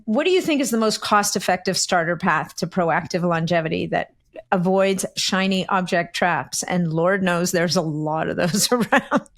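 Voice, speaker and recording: A woman in her forties hosting a podcast, chatty and animated as she reacts to and questions her guest. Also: on the low side. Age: 40s. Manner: chatty and animated, quick asides with laughs. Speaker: podcast host. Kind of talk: casual interview chat.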